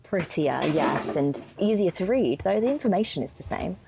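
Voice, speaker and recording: A woman's voice: on the low side, big pitch swings, moderate pace, creaky, noisy background. A young woman, a student actor, playing an earnest, matter-of-fact lab scientist explaining research in a naturalistic audio drama. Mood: precise, explanatory. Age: young adult.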